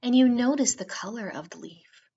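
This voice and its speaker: A woman narrating a guided meditation for children in a soothing, unhurried way with drawn-out phrasing. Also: a little high, animated, mellow, breathy edge, muffled recording.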